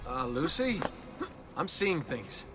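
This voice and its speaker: A man's voice: a little high, very animated, slow, far from the mic, music in the background. An actor playing a casual young man in a video game, informal and sardonic in the way he talks. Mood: uneasy. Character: wry, casual young man, reluctant assassin trainee. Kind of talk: naturalistic video game cutscene acting.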